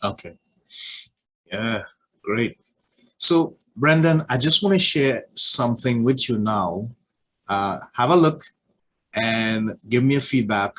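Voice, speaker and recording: A man hosting a podcast, asking his guest relaxed, open questions. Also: medium pitch, slow, pauses often, rough recording. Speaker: podcast host. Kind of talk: casual interview chat.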